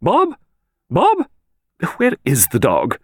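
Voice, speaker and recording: Wanted: bossy, well-to-do elderly lady, played by a man, high-pitched, brisk and commanding, for an audiobook. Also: huge pitch swings, slightly rough, no room sound, studio quality, full character voice. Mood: sharp, decisive. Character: autocratic, sharp-tongued, brisk old lady of means. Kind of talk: audiobook character voice.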